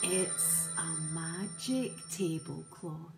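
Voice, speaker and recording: The north wind as a gentle, apologetic spirit, as a woman storyteller puts it on, talking slowly and calmly in a measured, lilting way. The voice rings in an echoey room with soft music beneath. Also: on the low side, creaky, exaggerated voice. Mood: persuasive.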